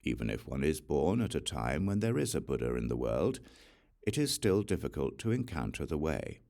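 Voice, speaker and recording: A man narrating a Buddhist scripture as an audiobook, reciting solemnly in short, measured phrases. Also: a bass voice, big pitch swings, creaky, a little mellow.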